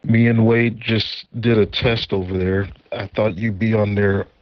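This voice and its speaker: A man's voice: fairly low pitch, flat delivery, a little slow. A man talking casually as a radio operator on a two-way channel. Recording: noisy, muffled two-way radio transmission.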